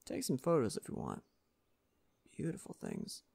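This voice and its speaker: Man playing a gentle lumberjack with some creak. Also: mid-range voice, huge pitch swings, heavily acted. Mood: hushed. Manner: gentle, folksy, reassuring, unhurried. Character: friendly Canadian lumberjack, gentle nature-loving father. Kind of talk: ASMR roleplay, soft-spoken monologue to the listener.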